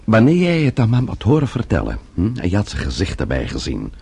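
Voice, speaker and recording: A man's voice: lowish voice, wildly swooping pitch, fairly quick, vocal fry, a little mellow, slightly rough, heavily acted. An actor playing an anxious, wry husband in a Dutch radio play, talking urgently and drily with his friend. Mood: earnest, uneasy.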